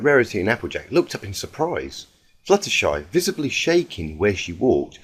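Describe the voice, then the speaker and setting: Low-pitched man reading a story dramatically. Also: husky. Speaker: fan fiction reader. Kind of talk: dramatic scripted story narration.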